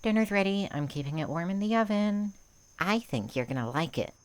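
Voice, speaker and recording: A woman's voice: medium pitch, animated, brisk, lightly acted. A woman playing a playful, affectionate young woman in a visual novel fan dub.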